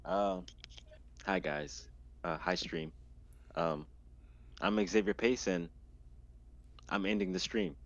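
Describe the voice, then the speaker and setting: A man's voice: sits low, slow pace, long pauses, highs cut off. A man in his twenties bantering casually on a group livestream, playfully riffing. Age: 20s. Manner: playful riffing with dramatic quoting. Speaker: livestream panelist.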